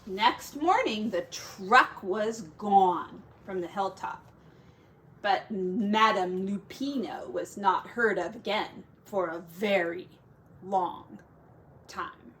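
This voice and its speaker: A woman's voice: medium pitch, takes it slowly, fairly bright tone, a little hiss or hum, poor audio. A woman who teaches, reading a picture book aloud to children expressively, drawing out key words for drama and adding casual asides.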